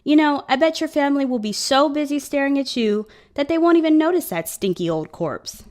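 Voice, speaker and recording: An actress playing a teasing, loyal young maid of honor with playful, sardonic banter in an audio drama. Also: female voice, sits high, lively intonation, brisk, a little mellow. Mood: playful, supportive.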